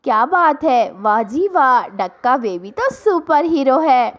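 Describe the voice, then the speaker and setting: A woman's voice: high voice, very animated, takes it slowly, heavily acted. A woman voicing an earnest, childlike little bird in a children's cartoon story. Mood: admiring.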